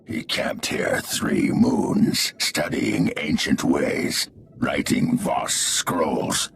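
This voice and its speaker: An actor playing a grim alien outcast in a video game, with a gravelly growl and terse, cryptic phrasing. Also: male voice, rumbling low, background noise, exaggerated voice. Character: grim outcast alien man who witnessed a mysterious stranger.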